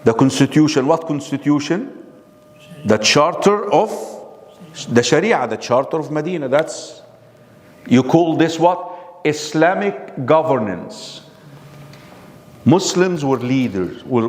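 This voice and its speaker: Male lecturer in a reverberant hall. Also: medium pitch. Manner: keeps quizzing the audience with questions. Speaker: Islamic lecturer. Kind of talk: classroom lecture.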